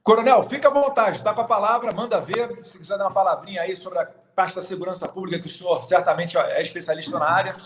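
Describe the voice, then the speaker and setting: A man's voice: high voice, quick pace, echoey room. A man hosting a political livestream, talking informally and straight to his viewers. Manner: informal, direct, addresses viewers. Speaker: host.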